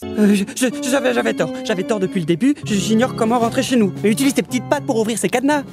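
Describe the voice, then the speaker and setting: A man's voice: very high-pitched, fast, vocal fry. An actor playing a hesitant, awkward teenage boy in a cartoon, with a nervous stammer. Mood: nervous, unsure. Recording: music behind the dialogue.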